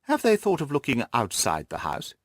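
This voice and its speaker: A man voicing an incisive, composed gentleman detective in an audiobook, questioning and reasoning with precise emphasis. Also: mid-range voice, wildly swooping pitch, moderate pace, dry recording, pitched above the performer's own voice. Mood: sceptical. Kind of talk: audiobook character acting.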